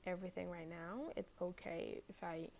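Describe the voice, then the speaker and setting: Gravelly-voiced woman from Haiti. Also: fairly low pitch, normal speed, slight background noise. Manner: relaxed, hesitant, many fillers. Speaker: podcast guest, fashion blogger. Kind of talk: casual interview chat.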